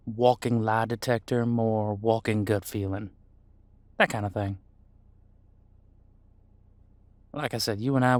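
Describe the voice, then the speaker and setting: A man playing an easygoing demon homicide detective in an audio roleplay, talking in a casual, folksy, reassuring way with hesitant pauses. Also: medium pitch, dry recording, close to an everyday voice. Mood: confident, reassuring. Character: easygoing male demon homicide detective mentoring new partner. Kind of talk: audio roleplay drama, conversational monologue.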